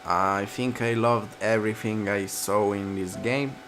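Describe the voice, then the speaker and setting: A man's voice: fairly low pitch, steady tone, measured pace, fairly bright tone, low-quality recording. A man reading a visual novel aloud and commenting casually as a gaming host, with guitar music behind him. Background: plucked guitar music.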